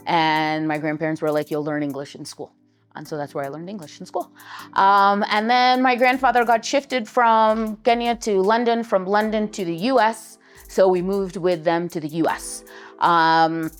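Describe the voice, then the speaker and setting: Relaxed, chatty American-accented woman. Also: on the low side, clear, resonant tone. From Poland. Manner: relaxed, chatty, lots of fillers like "um" and "like". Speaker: interview guest, lawyer. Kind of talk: casual interview storytelling.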